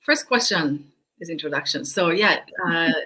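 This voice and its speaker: Bright-voiced woman hosting an interview. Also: sits low, expressive, moderate pace, breathy edge. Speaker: host.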